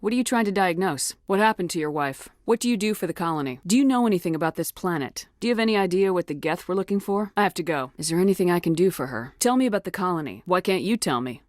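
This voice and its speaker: A woman's voice: lowish voice, expressive, fast talker, few pauses, darkish tone, dry recording, studio quality, close to an everyday voice. An actress playing a tough, resolute woman starship commander in a video game, speaking bluntly and with authority. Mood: businesslike, direct. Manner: blunt, authoritative, clipped questioning.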